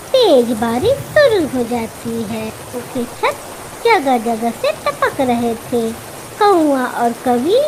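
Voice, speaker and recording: High-pitched, lively woman narrating a children's story. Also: background noise.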